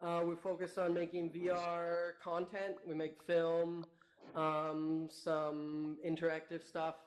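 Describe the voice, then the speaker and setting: A young man from Canada, guesting on a talk show by remote link, chatty and quick to laugh. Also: fairly high pitch, monotone, slight background noise. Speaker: guest, company founder. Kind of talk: casual interview chat. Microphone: remote call.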